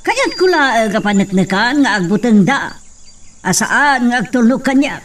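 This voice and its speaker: A woman's voice: on the high side, expressive, measured pace, a little hiss or hum. A woman playing a stern, imperious middle-aged hacienda matriarch in a radio drama, with an Ilocano accent. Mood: cold, commanding. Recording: distant microphone sound.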